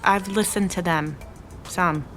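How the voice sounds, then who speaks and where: A woman's voice: on the low side, steady tone, slow, fairly bright tone, soft background music. A woman playing a stubborn young businesswoman who is defensive, curt and evasive.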